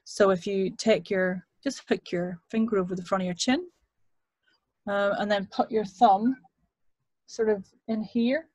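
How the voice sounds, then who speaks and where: A British woman teaching vocal exercises as a voice coach on a video call, demonstrating lively from a home studio mic. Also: mid-range voice, measured pace, dry recording.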